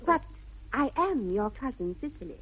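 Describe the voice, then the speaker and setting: A woman's voice: fairly high pitch, sing-song, moderate pace, husky. An actress playing a sly, artless young upper-class English girl in a precise, demure 1960s radio comedy. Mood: prim teasing. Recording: muffled, band-limited old radio broadcast.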